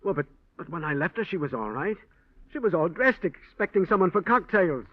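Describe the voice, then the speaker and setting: Evasive American businessman, lively pitch. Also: a little fast, dry recording. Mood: nervous, defensive. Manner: evasive, defensive. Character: evasive, nervous male businessman hiding guilt. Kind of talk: 1940s radio acting. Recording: muffled vintage radio recording.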